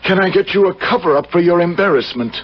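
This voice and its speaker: An actor playing a wronged husband, coldly polite and sardonic, in a 1970s radio drama. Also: male voice, sits high, expressive. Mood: coldly menacing. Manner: formal, deliberate, sardonic. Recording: muffled old radio broadcast.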